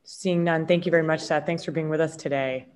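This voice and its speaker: A woman chairing a committee hearing, calling on speakers in a brisk, procedural way. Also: deep voice, fairly even intonation, darkish tone, slight background noise.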